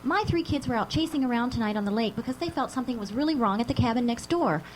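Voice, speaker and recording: A woman's voice: fairly high pitch, expressive, fast, natural voice, rough recording. A woman playing an assertive, protective mother in a live radio drama, insistent and forthright. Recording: live broadcast with faint noise.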